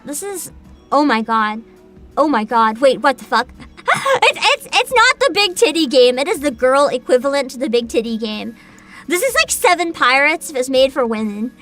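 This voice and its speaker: A woman hosting a livestream, chatting casually and reacting in an animated, exclamatory way with frequent swearing. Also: sits high, moderate pace, soft background music. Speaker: livestream host, VTuber.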